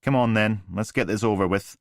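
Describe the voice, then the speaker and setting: A male narrator voicing a weary, sullen young constable with flat delivery. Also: lowish voice, brisk, no echo, studio quality, pitched above the performer's own voice, lightly acted.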